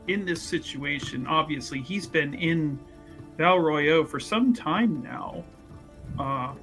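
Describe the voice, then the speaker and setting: A man's voice: a little high, pushed and bright. A man talking casually as a player in a tabletop roleplaying game, explaining his character's moves.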